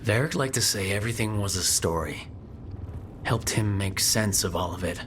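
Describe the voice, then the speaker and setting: A man playing a resolute adventurer and leader in a fantasy video game, speaking in a measured, earnest way. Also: on the low side, noisy background, natural voice. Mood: calm, earnest. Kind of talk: acted game dialogue. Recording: game audio with clear background ambience.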